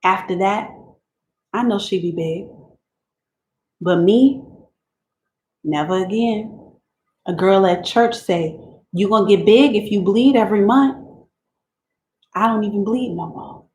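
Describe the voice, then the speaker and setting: Woman performing a resigned, abused teen country girl writing to God; quiet rural dialect, mid pitch, echoey room. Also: measured pace, long pauses, lightly acted. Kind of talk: dramatic reading of letters. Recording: roomy room echo.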